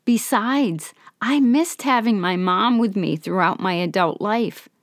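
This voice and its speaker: A woman voicing the quoted words of an elderly, guilt-ridden, devout woman, in a soft, sorrowful, confiding way. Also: medium pitch, measured pace, no room sound, clean studio sound, close to an everyday voice.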